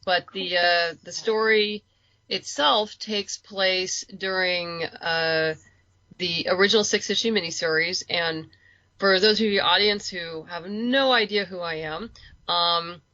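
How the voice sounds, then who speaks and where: A woman's voice: on the low side, takes it slowly, bright, forceful tone, no echo. A woman chatting casually as an interview guest, talkative and a little self-deprecating. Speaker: podcast guest, writer and producer. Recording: dull lo-fi voice track.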